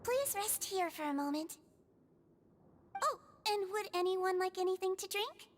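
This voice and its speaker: A woman voicing a small, sweet, caring head nurse creature in a video game, gentle, polite and instructive. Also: very high voice, expressive, a little slow, a little hiss or hum. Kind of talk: video game character acting.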